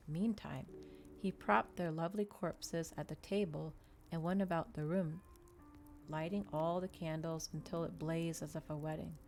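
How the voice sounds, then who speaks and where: A woman doing a soft ASMR reading of a story, with measured, expressive storytelling, as a streamer reading aloud to her listeners. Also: low voice, creaky, a little hiss or hum.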